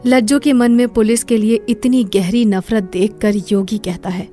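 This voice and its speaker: A woman's voice: a little high, fairly even intonation, normal speed, mellow, soft background music. A woman narrator reading a scripted moral story.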